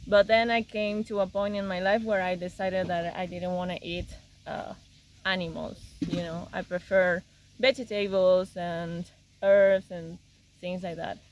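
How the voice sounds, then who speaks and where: A woman's voice: fairly low pitch, flat delivery, a little slow, a little mellow, slight background noise, rough recording. A young woman from Argentina chatting casually outdoors in a rural yard, often repeating words as she searches for them. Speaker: visiting guest.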